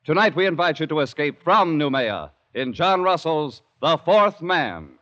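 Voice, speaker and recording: A man's voice: on the high side, expressive, measured pace. A man announcing a vintage radio drama in a formal, declamatory style. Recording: dull vintage radio recording. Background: loud dramatic music.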